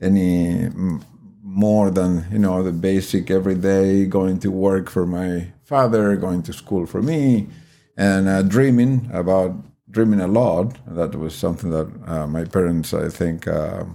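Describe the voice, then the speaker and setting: An older man with an Argentine Spanish accent speaks in a very deep, warm, mellow voice. He tells his story in a halting, thoughtful way, full of uhs and restarts. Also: fairly even intonation, creaky. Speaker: podcast guest. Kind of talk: life story interview.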